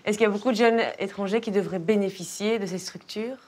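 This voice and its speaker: A woman's voice: mid-range voice, steady tone, fast. A woman hosting an interview, asking friendly, upbeat questions. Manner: cheerful, laughing. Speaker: interviewer, host. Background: soft music.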